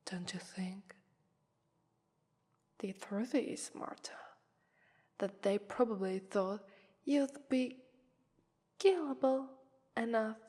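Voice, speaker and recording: A woman's voice: mid-range voice, a little mellow. A woman with an Italian accent voicing a haughty, mocking female vampire who toys with her prey in a theatrical ASMR roleplay. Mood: disdainful. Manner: mocking, theatrical, drawn-out phrasing with breathy whispers. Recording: long reverberant hall echo.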